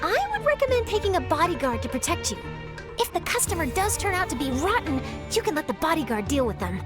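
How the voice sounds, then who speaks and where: Casting: talkative confident young anime game character, high lilting voice over music. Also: female voice, big pitch swings, fast talker. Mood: confident, advising. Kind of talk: anime video game voice acting.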